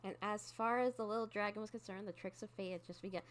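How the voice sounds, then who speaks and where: A woman's voice: medium pitch, fairly even intonation, quick pace. A woman streamer casually reacting and commenting while playing a video game. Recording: loud game music behind.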